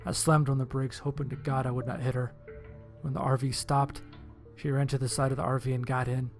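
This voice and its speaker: A man's voice: medium pitch, little pitch movement, brisk, a little mellow. Male horror narrator, mid-range voice, dramatic first-person storytelling, music underneath.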